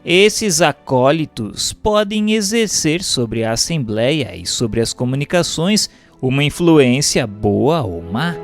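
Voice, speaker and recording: A man with a Brazilian accent giving a formal, measured, didactic scripted reading of a religious text as a narrator. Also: a little high. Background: background music.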